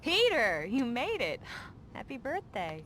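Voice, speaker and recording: Teasing young woman, bright swinging pitch. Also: wildly swooping pitch, measured pace, exaggerated voice. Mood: cheerful, teasing. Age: young adult. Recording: faint noise behind.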